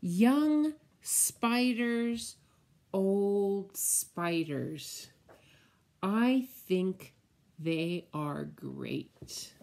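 A middle-aged woman, a preschool teacher, reading a picture book aloud to small children and explaining things simply in a deliberate, drawn-out way. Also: medium pitch, animated, vocal fry, darkish tone. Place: indoors, room at home with window blinds.

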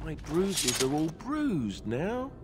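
Wry, wildly animated young warrior. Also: male voice, fairly high pitch, takes it slowly, loud music behind the voice, full character voice. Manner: wry, self-mocking. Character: joking young male warrior companion in fantasy game.

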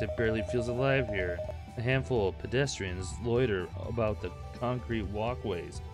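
Low-voiced irreverent man reading a game aloud. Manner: irreverent, crude, jokey. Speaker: gaming video host. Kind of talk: reading a visual novel aloud with joking asides. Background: game music.

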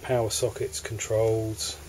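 A man with an English accent walks through the cabins of a moored motor yacht, narrating a sales tour in a relaxed, hesitant way. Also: fairly low pitch, takes it slowly, slight background noise, poor audio. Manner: relaxed, hesitant, with fillers and restarts. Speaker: yacht broker. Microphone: handheld camera mic.

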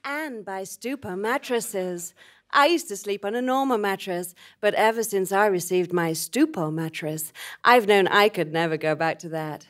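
A woman's voice: medium pitch, moderate pace. A woman on a theatre stage performing a scripted parody of an advert. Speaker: spoof advert voice. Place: small theatre stage, live show.

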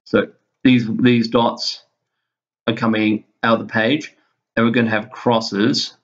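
A man teaching an informal screen-recorded lesson, explaining step by step in a halting way and thinking aloud. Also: lowish voice, level tone, muffled recording. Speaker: physics teacher. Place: indoors, screen-recorded voice-over at a computer.

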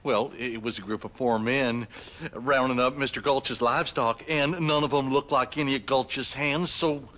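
An actor playing an eager, simple-minded deputy with a rural American Western accent in a video game. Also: male voice, mid-range voice, fairly even intonation, moderate pace, bright, forceful tone, natural voice. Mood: sheepish, defensive.

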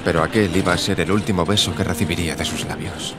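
Actor as a low-voiced devoted husband. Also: male voice, steady tone, fast talker, music in the background, close to an everyday voice. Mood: sombre narrating. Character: devoted, impatient husband and manager of an aviator. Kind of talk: audio drama acting and narration.

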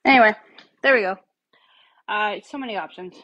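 A woman's voice: mid-range voice, moderate pace, sharp tone, highs cut off. A woman in her thirties chatting casually as she cooks in a home kitchen, rambling with lots of fillers, recorded on a phone on the counter. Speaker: home cook vlogger. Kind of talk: casual cooking demo. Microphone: phone camera on the counter.

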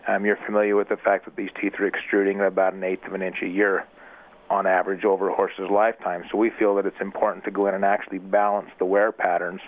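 A man who works as an equine dentist, calmly explaining his work as a guest in a radio interview. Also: deep voice. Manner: measured, methodical, explanatory. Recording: tinny phone line.

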